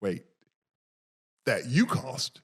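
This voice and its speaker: A man's voice: mid-range voice, wildly swooping pitch, very slow, very noisy. A middle-aged American man hosting a casual podcast interview, joking and teasing in a playful, preacherly way. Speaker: podcast host.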